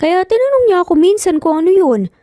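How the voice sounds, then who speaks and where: A woman's voice: very high-pitched, very animated, brisk, mellow, no echo, studio quality, soft background music, pitched above the performer's own voice. Woman as a mischievous, easygoing young man. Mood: amused. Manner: joking, playful. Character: mischievous, easygoing male cousin, young adult.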